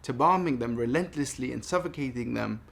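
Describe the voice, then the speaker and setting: A man in his thirties from Palestine speaking in a personal monologue, earnest, deliberate and emphatic. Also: mid-range voice, gravelly, fairly bright tone. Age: 30s. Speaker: vlogger making a response video. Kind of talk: personal monologue addressed to camera.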